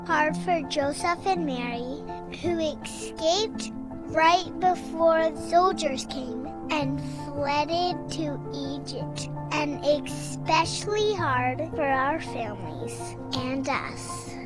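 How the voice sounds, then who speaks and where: A performer playing a cheerful child spirit from heaven in a children's audio drama, explaining gently and earnestly. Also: high-pitched. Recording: children's music under the voice.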